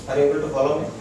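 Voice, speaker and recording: A man with an Indian accent, a doctor giving an informal lecture to a small group, explaining in short repeated phrases and checking that his listeners follow. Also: on the high side. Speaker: doctor teaching integrative medicine. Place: room with a small audience. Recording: echoey room, distant mic, noisy.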